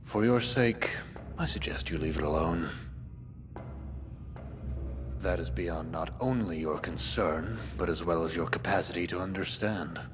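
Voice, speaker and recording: Man playing a deep-voiced, pompous, menacing keeper. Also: close to an everyday voice. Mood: commanding, contemptuous. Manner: pompous, formal, measured, menacing. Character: cold, domineering male keeper, a zealot slaver. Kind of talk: dramatic video game dialogue. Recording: long reverb, loud music behind.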